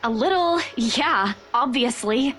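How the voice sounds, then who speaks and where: A scared young agent in a game, high voice that jumps all over, kinda pleading, music soft behind. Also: female voice, lively intonation, slow. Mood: subdued. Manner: anxious, pleading. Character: frightened, insistent young real estate agent. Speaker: character in a visual novel. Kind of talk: game voice acting. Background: faint game music.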